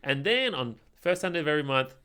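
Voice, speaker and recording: Young male podcast co-host, slightly high voice, loose conversational storytelling with halting phrasing. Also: animated, bright, forceful tone, smooth. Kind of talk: casual chat, storytelling.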